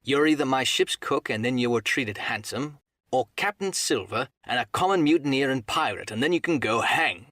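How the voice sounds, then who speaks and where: A man's voice: medium pitch, expressive, moderate pace, fairly bright tone, no echo, voice pushed up. Man playing a stern, commanding sea captain. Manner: curt, authoritative. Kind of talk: audiobook character acting.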